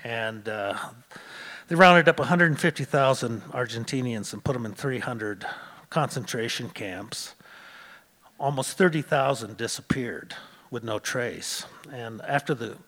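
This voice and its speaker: A man telling a personal story live on stage, pausing often with "uh" as he goes. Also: mid-range voice, fairly bright tone. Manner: halting, with many "uh" pauses. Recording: long hall reverb.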